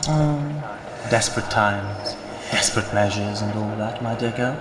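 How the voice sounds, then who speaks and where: A man voicing a patronising, wry scientist in an audio drama, measured and condescending. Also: on the low side, close to an everyday voice. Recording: echoey with loud background noise.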